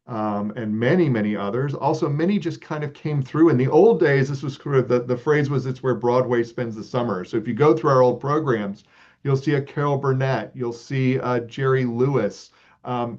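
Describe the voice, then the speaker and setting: A man from Texas, a guest leading an arts organization, answering interview questions in a relaxed, earnest way with many ums and uhs. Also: mid-range voice. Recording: slightly dull lo-fi audio.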